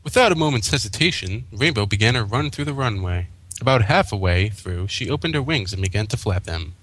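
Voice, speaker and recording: A man's voice: lowish voice, expressive, brisk, a bit bright, rough recording. A man co-hosting and narrating a fan fiction story in a read-aloud style. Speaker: co-host, narrator. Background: background music.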